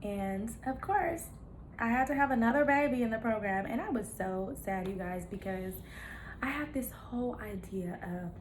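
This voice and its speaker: A woman's voice: a little high, expressive, moderate pace, slight background noise. A woman in her thirties chatting casually to her viewers, encouraging and playful, with laughing asides. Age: 30s. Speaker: nurse practitioner vlogger. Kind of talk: casual chat to camera.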